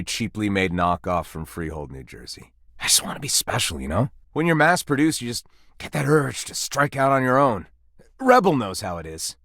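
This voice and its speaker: An actor playing a humbled, ordinary knockoff candelabra in a video game, plain-spoken and sheepish. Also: male voice, lowish voice, fairly bright tone, no echo, clean studio sound. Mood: dejected, confessing. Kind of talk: scripted video game character acting.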